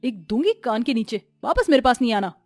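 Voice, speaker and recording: A woman's voice: fairly high pitch, lively intonation, fairly quick, a little mellow, no echo, soft background music, natural voice. A woman voicing a snobbish cartoon passer-by, haughty and threatening. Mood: disgusted.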